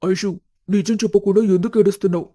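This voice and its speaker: A man voicing an earnest young suitor with a dramatic way of speaking in an animated moral story. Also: sits high, quick pace, no echo, clean studio sound. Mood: questioning. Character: young suitor, earnest and devoted, later stern.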